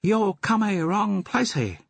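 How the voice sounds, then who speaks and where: A man's voice: high-pitched, sing-song, takes it slowly, gravelly, dry recording, pitched above the performer's own voice, exaggerated voice. A man voicing a shifty, grudging male opium-den keeper with a Cockney twang and a sly, wheedling manner. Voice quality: put-on routine voice, sly and wheedling.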